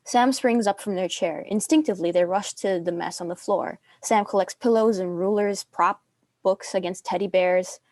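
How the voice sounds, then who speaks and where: A woman's voice: mid-range voice, normal speed, darkish tone, no echo. A young adult woman reading scripted stage directions as a narrator.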